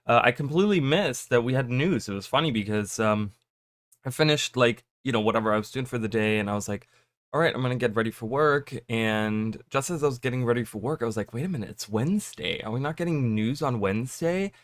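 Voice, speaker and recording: A man talking casually to his viewers, rambling with lots of fillers and restarts, his voice a little raspy from just waking up. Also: medium pitch, expressive, fairly quick, no echo. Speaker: gaming YouTuber. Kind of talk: casual chat to camera.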